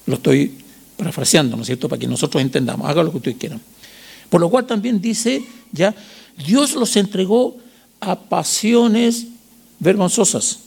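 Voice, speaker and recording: A man in his sixties with a Chilean accent preaching a sermon, teaching didactically with emphatic repetition and short check questions, in a gravelly, husky voice. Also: sits high, darkish tone. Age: 60s. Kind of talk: sermon with Bible reading and commentary.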